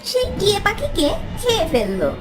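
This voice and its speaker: A woman's voice: very high voice, wildly swooping pitch, brisk, background noise. A woman narrating a scripted children's cartoon story. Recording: music under the voice.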